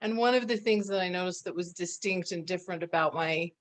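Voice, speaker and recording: A woman's voice: on the low side, fairly quick. A middle-aged woman hosting a conversational interview, chatty and given to repeating phrases for emphasis. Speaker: host.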